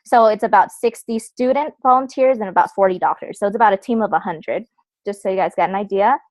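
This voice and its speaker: Young woman guest speaker, casual and chatty with lots of fillers, mid-pitched voice, dry close recording. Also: fast talker, no room sound. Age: young adult. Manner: chatty, uses many fillers like "like" and "so". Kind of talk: casual personal talk.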